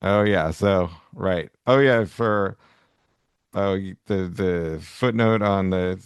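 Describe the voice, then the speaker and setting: A man chats casually as a podcast co-host. His voice is fairly low, and the sound is dry and close, with little room around it. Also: slow pace, smooth, dry recording. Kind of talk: casual chat and commentary.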